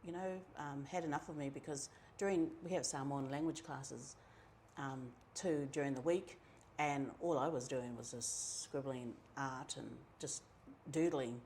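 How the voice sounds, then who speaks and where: A middle-aged woman with a New Zealand accent tells her story in a low, gravelly voice. She talks in a relaxed, chatty way, full of ums and quoted asides. A faint hiss of noise sits under her voice. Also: measured pace. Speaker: community member telling her story. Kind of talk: casual personal storytelling.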